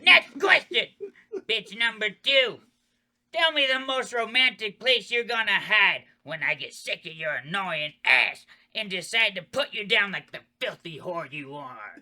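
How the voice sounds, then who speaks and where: An actor reading a foul-mouthed, jumpy killer clown in a comedy horror table read. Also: male voice, high-pitched, lively intonation, unhurried, pushed and bright, exaggerated voice. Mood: gleeful, nasty.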